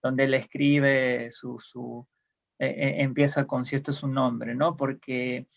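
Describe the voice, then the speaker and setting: A man's voice: mid-range voice, flat delivery, slow, vocal fry, dull audio. A man from Peru, a guest explaining ideas in casual conversation, hesitant with many fillers and restarts, heard over a video call.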